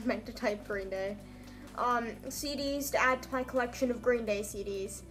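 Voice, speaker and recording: A woman's voice: a little high, fairly bright tone. A young adult woman reading a story aloud in a comedic, mock-dramatic, self-mocking way with casual asides. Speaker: vlogger reading her own old story aloud.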